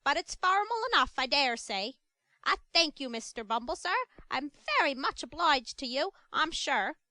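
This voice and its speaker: A woman's voice: lively intonation, normal speed, bright, forceful tone, no echo. A woman putting on a gushing, fawning matron. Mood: obsequious. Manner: gushing, coaxing, high and shrill. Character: fawning middle-aged woman who runs a pauper farm.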